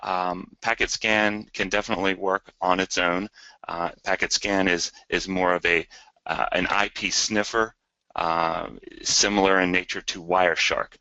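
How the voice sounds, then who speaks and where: A man's voice: low voice, flat delivery, a little slow, pushed and bright, no room sound. A man presenting products in a webinar, speaking hesitantly and repeating words. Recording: dry but dull lo-fi webinar audio.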